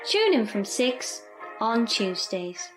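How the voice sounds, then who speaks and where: A woman's voice: fairly high pitch, sing-song, takes it slowly, over loud music. A woman reading a playful scripted promo for her radio show.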